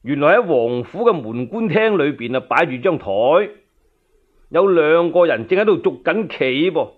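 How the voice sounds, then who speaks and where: A man with a Cantonese accent telling a historical novel as a solo storyteller, in an animated, colloquial and dramatic way. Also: a little high, normal speed. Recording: dull lo-fi radio recording.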